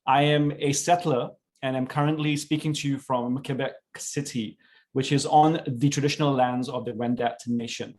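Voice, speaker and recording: A middle-aged man opens an academic panel over a video call, his voice sitting at an ordinary middle pitch. He talks in a loose, halting way, full of fillers and restarts. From born in South India, raised in Singapore. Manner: relaxed, halting, many fillers. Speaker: panel host, professor. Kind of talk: online panel introduction.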